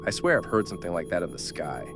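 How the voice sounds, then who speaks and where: A man playing a gruff, world-weary survivor who takes charge in an audio horror drama. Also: low voice, very animated, fast talker, music in the background. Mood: wary.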